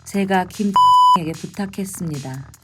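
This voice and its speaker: A woman acts out a subdued older woman on a cartoon witness stand. She answers in a lowish voice at a very slow pace. Loud music plays behind her. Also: lightly acted. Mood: subdued, admitting. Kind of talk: scripted courtroom reenactment in cartoon explainer.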